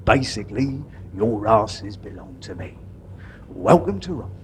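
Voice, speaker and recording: A man playing a pompous, theatrical official in a live comedy, with a grandly drawn-out delivery. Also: fairly low pitch, very animated, darkish tone. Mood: grandiose. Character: pompous, theatrical male authority, judge and prison governor. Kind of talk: live comedy sketch acting.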